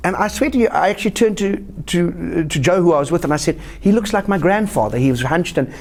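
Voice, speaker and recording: Fast-talking South African comedian. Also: male voice, gravelly. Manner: animated anecdotes with lively pitch jumps. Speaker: guest comedian. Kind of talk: casual interview storytelling.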